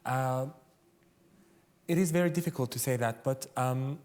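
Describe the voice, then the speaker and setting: A man with a Persian accent, a native Persian speaker, talking candidly and a little haltingly as a debate panellist. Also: medium pitch, a little mellow. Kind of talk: personal reflection in a live debate. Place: theatre stage, live panel debate.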